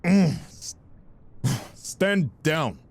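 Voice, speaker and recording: A man's voice: fairly high pitch, very animated, drawn-out words, full character voice. A man playing a cruel, cowardly mob boss with a sneering, taunting manner in a dramatic audio roleplay. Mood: rattled.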